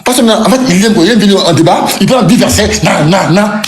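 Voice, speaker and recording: A man's voice: high-pitched, animated, brisk. A man arguing combatively in a live online debate, mocking his opponent and refusing to yield the floor. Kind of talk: heated live-stream debate. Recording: echoey room.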